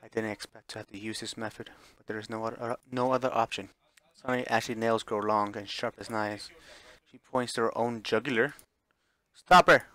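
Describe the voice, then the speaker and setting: A man's voice: lowish voice, flat delivery, fairly quick, a bit bright, no room sound. A man reading a visual novel aloud as dramatic narration, sometimes stumbling and repeating phrases. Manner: stumbles over words and re-reads phrases.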